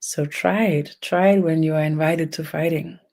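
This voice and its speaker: A speaker from Denmark with a Danish accent and a gravelly creak leads a guided energy meditation online, speaking haltingly in short phrases with long pauses. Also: medium pitch, fairly even intonation, darkish tone. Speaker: spiritual energy healer leading an online session. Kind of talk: slow guided meditation and channeled talk.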